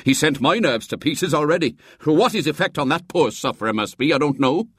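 A man's voice: fairly high pitch, lively intonation, a little fast, a bit bright, husky, no room sound, clean studio sound, pitched above the performer's own voice. A man voicing a gloomy, irritable middle-aged uncle in a story reading, grumbling peevishly. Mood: irritated.

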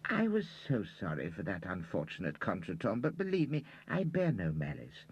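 A man voicing a timid, fussy older gentleman who talks in a hesitant, prim, preachy way. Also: deep voice, huge pitch swings, normal speed, husky, dry recording, muffled recording, exaggerated voice.

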